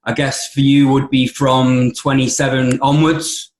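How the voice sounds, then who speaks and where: A man's voice: mid-range voice, little pitch movement, a little slow. Polite, hesitant male host in an echoey room. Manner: hesitant, lots of ums and restarts, polite. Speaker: podcast host. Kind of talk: conversational interview.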